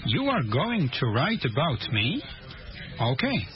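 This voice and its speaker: An actor playing a polite student who speaks English carefully and haltingly in a language-lesson drama. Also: male voice, medium pitch, wildly swooping pitch. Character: polite Polish student, learning English, eager and uncertain. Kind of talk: slow, simple scripted language-lesson drama. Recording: muffled lo-fi lesson audio, music behind.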